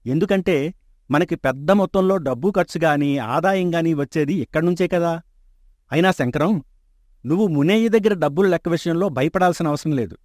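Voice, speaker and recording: A man's voice: on the high side, a little fast, dry recording, lightly acted. A man voicing a kindly rich old merchant father. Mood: calm. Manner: calm, fatherly and assured. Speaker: shop owner. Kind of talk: animated storybook dub. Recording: dry close studio mic.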